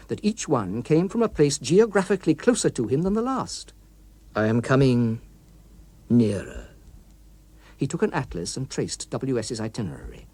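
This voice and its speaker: A man narrating an audiobook story in a precise, expressive, literary manner, recorded in a studio. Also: mid-range voice, slightly rough, no room sound. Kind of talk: scripted dramatic story narration.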